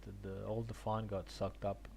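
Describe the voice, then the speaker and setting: A man from Argentina chatting casually on a game stream, haltingly thinking aloud and repeating words. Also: fairly low pitch, little pitch movement, gravelly, darkish tone, slight background noise. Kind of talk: casual rambling stream chat about games.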